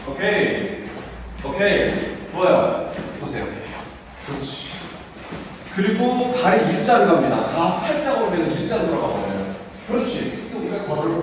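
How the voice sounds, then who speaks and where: A man coaches trainees in walking with short, encouraging, repeated cues. His voice is high for a man and moves in lively swings, heard in a big echoing hall. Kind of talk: hands-on instruction to trainees. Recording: echoey hall, distant mic, faint noise.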